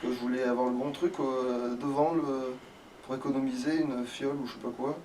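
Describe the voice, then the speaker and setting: A man's voice: mid-range voice, flat delivery, brisk, a little mellow. A man doing casual let's play commentary in a grumbling, sweary way. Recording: noisy, distant microphone.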